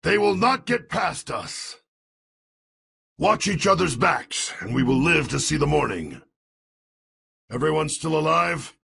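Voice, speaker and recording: A man's voice: fairly high pitch, big pitch swings, measured pace, gravelly, long pauses, a bit bright, slightly rough, heavily acted. A man acting a grim, noble, weary soldier in a video game, with a grave theatrical delivery. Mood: resolute. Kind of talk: dramatic video game voice lines.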